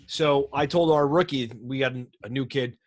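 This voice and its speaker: A man's voice: medium pitch, normal speed. A middle-aged man in casual conversation, talking in an informal, plain-spoken way. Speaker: firefighter on a radio show. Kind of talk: casual chat.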